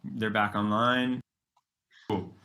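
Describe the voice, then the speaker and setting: A conference host breaks in to explain a streaming problem. The speech is slow and unhurried, halting with small fillers, and comes from a phone. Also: mid-range voice, little pitch movement, vocal fry, pushed and bright. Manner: apologetic, hesitant.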